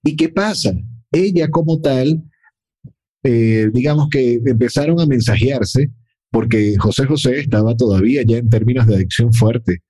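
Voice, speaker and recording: A man's voice: mid-range voice, normal speed, dark tone, husky, low-quality recording. A man chatting casually as a podcast co-host, speaking fluently and filling in facts and details. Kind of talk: casual chat.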